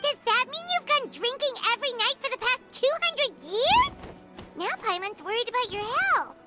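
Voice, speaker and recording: A woman voicing a tiny childlike fairy companion in a video game, chirpy and blunt, with a squeaky voice. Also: sing-song, moderate pace, music in the background. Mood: teasing, nosy. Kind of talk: video game character dialogue.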